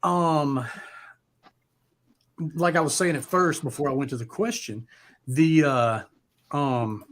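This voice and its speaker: A man hosting a live music chat, talking casually and haltingly with loose swearing. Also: on the high side. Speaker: host of a live music talk show.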